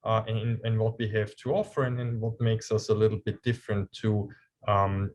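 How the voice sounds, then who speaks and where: A man with an Austrian German accent presents slides over a video call. His voice sits a little low, and he speaks politely and steadily, with occasional fillers. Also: fairly even intonation, a little mellow, a little hiss or hum. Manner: polite, measured, some fillers. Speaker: cruise line executive, guest presenter.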